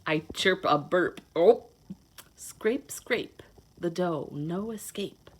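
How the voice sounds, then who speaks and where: A woman reading a children's picture book aloud in a playful, expressive, sing-song way, adding simple asides to her young listeners. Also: lowish voice, slow, breathy edge. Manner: simple child-directed explaining. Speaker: children's read-aloud host, teacher.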